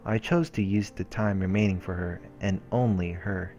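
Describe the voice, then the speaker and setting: A man's voice: low voice, moderate pace, mellow, lo-fi sound. A man hosting a let's play, reading a visual novel's narration aloud like a storyteller. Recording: music under the voice. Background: game background music.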